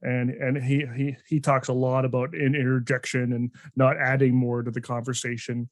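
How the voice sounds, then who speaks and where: A man hosting a podcast in casual, rambling talk, stopping and restarting his sentences often. His voice sits at an ordinary pitch for a man. It is recorded close to the mic with a dry, dead sound. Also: level tone, moderate pace, no room sound. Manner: rambling, full of restarts and fillers. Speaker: podcast host. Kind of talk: casual conversational interview.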